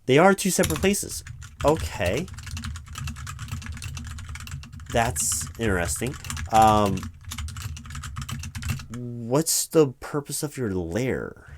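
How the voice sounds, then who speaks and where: A man's voice: medium pitch, very animated, slow pace, long pauses, background noise. A man playing a flustered, polite corporate hiring manager in a comedic interview roleplay, stammering and hesitating as he goes, with keyboard typing. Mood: puzzled, wary. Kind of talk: one-sided comedic job interview audio roleplay. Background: computer keyboard typing, tapping.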